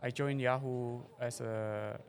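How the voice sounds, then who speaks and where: A man's voice: mid-range voice, fairly even intonation, slow. A middle-aged man from Indonesia, a venture investor and interview guest, answering with frequent fillers and phrasing. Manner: hesitant, many fillers, non-native phrasing. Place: conference forum venue.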